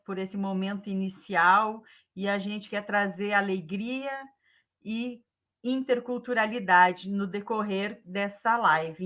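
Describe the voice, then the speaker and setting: A woman's voice: mid-range voice, sharp tone. A woman with a Brazilian accent presenting a live online event as its host, in a formal style.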